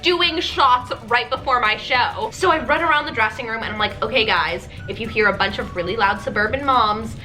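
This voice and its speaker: A young American woman telling casual comic stories to a friend in an animated way, acting out people's reactions. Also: a little high, moderate pace, few pauses, soft background music, rough recording. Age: young adult. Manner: animated, acts out quoted reactions. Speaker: video host. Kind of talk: casual comedic storytelling with a friend.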